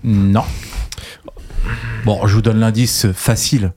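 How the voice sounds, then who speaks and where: A man's voice: lowish voice, steady tone, takes it slowly, darkish tone. A man hosting a casual quiz segment on a talk show, bantering and teasing as he puts questions and quotes to the panel. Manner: teasing, joking.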